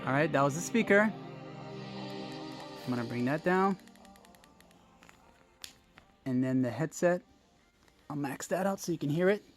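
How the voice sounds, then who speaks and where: A man's voice: fairly high pitch, expressive, unhurried, pauses often, soft background music. A man hosting a tech tutorial, talking viewers through steps in a casual, informal way and addressing them directly. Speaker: host of a phone tech tutorial channel. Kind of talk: casual step-by-step tutorial narration.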